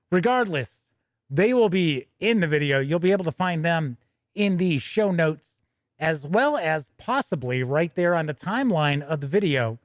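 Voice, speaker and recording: A man's voice: on the high side, no room sound, clean studio sound. A man hosting a casual live stream, chatting to viewers in a folksy, easygoing way. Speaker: host of a tabletop gaming news live stream.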